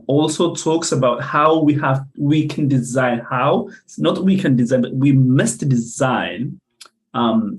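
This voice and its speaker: Slow, halting young man from Ethiopia. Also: medium pitch, a little mellow, low-quality recording. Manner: hesitant, many fillers and restarts. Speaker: conference speaker. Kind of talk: academic presentation. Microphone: video call.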